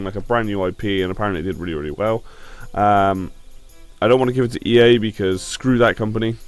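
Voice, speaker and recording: A man hosting a gaming show with casual, blunt opinion commentary, slightly croaky from a sore throat. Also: mid-range voice.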